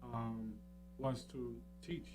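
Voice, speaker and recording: A man in his thirties talks casually as a radio guest, at an ordinary middle pitch. He explains things informally and affirmingly, while plucked guitar music plays clearly behind him. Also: steady tone, very slow. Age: 30s. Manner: informal, affirming, frequent "you know" and "um". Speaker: interview guest, entrepreneur and financial educator.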